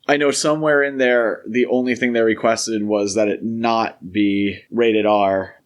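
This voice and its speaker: A man's voice: fairly low pitch, fairly even intonation, unhurried, muffled recording. Man co-hosting a film discussion podcast. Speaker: podcast co-host.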